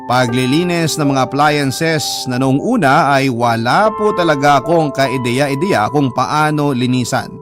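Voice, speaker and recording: A man reading a listener's heartfelt letter aloud as earnest, polite scripted narration. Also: mid-range voice. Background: background music.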